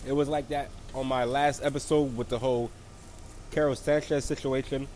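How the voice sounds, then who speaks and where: A man's voice: mid-range voice, fairly even intonation, moderate pace, darkish tone, a little hiss or hum. A man with a cold and a froggy voice, rambling through a casual solo recap as a wrestling show reviewer. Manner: rambling, lots of fillers and restarts.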